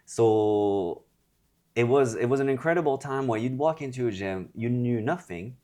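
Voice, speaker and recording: A man's voice: medium pitch, moderate pace, mellow. A man from France, a personal trainer and gym founder, talking frankly and reflectively in a casual interview, with frequent hesitations.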